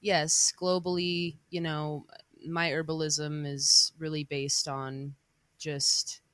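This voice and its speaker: A young woman, born in Bosnia and raised in Colorado, chatting casually as a podcast guest, relaxed and hesitant with many ums. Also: low voice, takes it slowly, no echo. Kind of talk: casual conversation.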